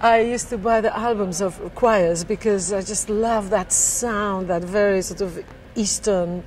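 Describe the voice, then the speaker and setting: A woman's voice: medium pitch, steady tone, measured pace, a little mellow, slight background noise, poor audio. A middle-aged woman from Poland with a Polish accent, chatting about her music in an interview and laughing often. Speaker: singer interviewed. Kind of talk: casual interview chat. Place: backstage at a theater.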